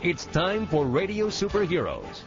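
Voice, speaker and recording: Casting: male radio host, animated lightish voice, music bed, slightly dull recording. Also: sits high, a little slow. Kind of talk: scripted promotional narration.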